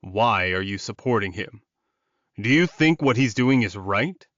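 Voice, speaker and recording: A man's voice: on the low side, expressive, a little slow, a bit bright, no room sound, dull audio. Man voicing a weary, grumbling captive male werewolf. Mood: earnest, pleading. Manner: grumbling, groaning, pleading.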